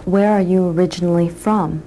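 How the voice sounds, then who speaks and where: A woman presenting a lesson, asking interview questions in a clear, measured way. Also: fairly low pitch, fairly even intonation, dark tone, low-quality recording. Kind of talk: scripted educational interview.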